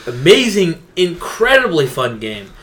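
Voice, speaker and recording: A man's voice: a little high, sing-song, measured pace, fairly bright tone. A man co-hosting a laid-out, casual chat about video games, talking like an enthusiastic fan with lots of filler "like". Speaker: podcast co-host.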